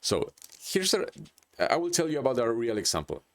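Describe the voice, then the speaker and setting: A man's voice: mid-range voice, wildly swooping pitch, moderate pace, a bit bright. Hesitant man with a Chilean accent. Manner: hesitant, with many restarts and "like". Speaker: presenter. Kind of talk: unscripted business presentation.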